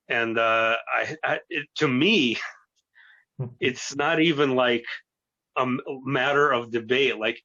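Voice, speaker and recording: A man in his early thirties, a native Russian speaker raised in the United States, giving an earnest, halting personal account as an interview guest on a lo-fi recording. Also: mid-range voice, pushed and bright. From born in Russia, grew up in the United States. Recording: dull, lo-fi voice recording.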